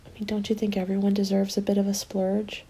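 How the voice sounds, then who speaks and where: A woman playing a hopeful survivor of a zombie apocalypse in an ASMR roleplay, soft-spoken and often slipping into whispers. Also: mid-range voice, completely flat delivery, brisk, breathy edge. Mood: hopeful, excited. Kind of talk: ASMR roleplay, intimate storytelling. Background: background music.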